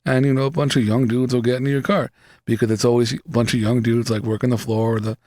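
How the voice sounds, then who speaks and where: A man's voice: mid-range voice, little pitch movement, fast, a little mellow, dry recording. A man, chatting loosely on a podcast into a close studio mic, profane and full of fillers. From New York City. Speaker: podcast co-host. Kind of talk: casual chat. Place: small studio room, indoors.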